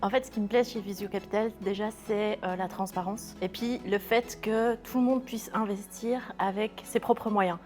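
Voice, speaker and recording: A woman's voice: medium pitch, steady tone, normal speed, few pauses, darkish tone, soft background music. A woman giving an investor testimonial in an interview, with many hesitations and fillers.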